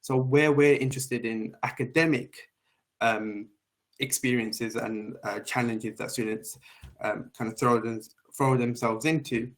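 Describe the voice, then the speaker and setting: A man speaking on a university admissions panel in a measured way, with many filler ums. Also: mid-range voice, fairly even intonation. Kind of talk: panel discussion.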